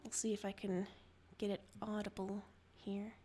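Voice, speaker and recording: A woman's voice: medium pitch, level tone, normal speed, mellow, a little hiss or hum. A woman hosting a livestream, moving between story narration and casual chat. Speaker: livestream story reader and host.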